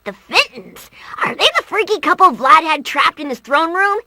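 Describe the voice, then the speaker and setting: A woman voicing a brash, excitable little boy hero in a cartoon video game, squeaky and childlike. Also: normal speed, dry recording, full character voice. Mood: curious.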